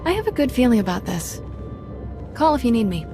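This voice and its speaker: An actress playing a plucky, frank young Jedi in a video game, with an informal, conversational delivery. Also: female voice, a little high, big pitch swings, brisk. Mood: breezy, wry. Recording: loud music under the voice.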